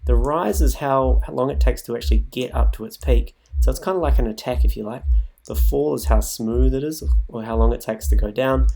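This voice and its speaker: A man's voice: medium pitch, fairly quick, creaky. A man presenting a music production tutorial in a casual, improvised way, thinking aloud as he demonstrates on his computer. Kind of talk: casual, improvised software walkthrough. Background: music loops from the demonstrated software.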